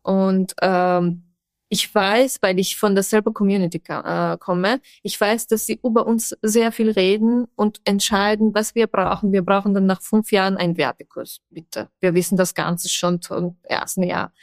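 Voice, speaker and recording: A woman's voice: mid-range voice, steady tone, moderate pace, no echo. A woman from Afghanistan who speaks German with an Afghan accent, talking earnestly in a radio interview with frequent hesitations and restarts. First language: other than German. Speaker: interview guest, NGO worker.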